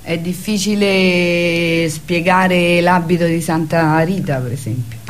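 A woman with a fairly low voice and faint ambient noise behind her. She speaks as an artist guest in casual conversation, explaining loosely and with hesitation. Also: fairly even intonation, slow pace. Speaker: visual artist, radio guest. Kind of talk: casual chat.

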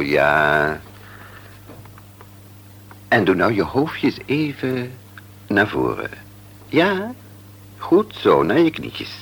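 An actor playing a calm, kindly family doctor in a Dutch radio play, with a reassuring, businesslike bedside manner. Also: male voice, very deep voice, heavily acted. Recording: noisy old radio play recording.

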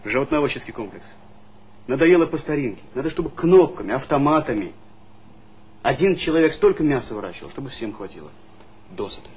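A man's voice: mid-range voice, very animated, fairly quick, a little mellow, breathy edge. An actor playing a hot-headed, idealistic young village man, impassioned and argumentative, in a Soviet stage comedy. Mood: earnest, stubborn. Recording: faintly noisy 1970s theatre recording.

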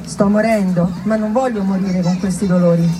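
A woman's voice: medium pitch, steady tone, moderate pace, distant mic, background noise, voice pushed down, close to an everyday voice. A woman playing a suffering young pregnant patient in a stage monologue, pleading and weary. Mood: pleading, despairing.

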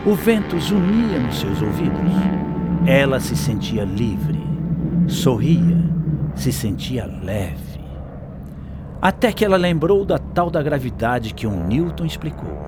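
A man with a Brazilian accent narrating a scripted radio drama as an expressive storyteller. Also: mid-range voice, slow. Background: music.

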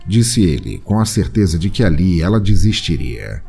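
A man with a Brazilian accent narrating a scripted comic audio drama with deadpan, exaggerated storytelling. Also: deep voice, normal speed, gravelly, husky. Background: music bed.

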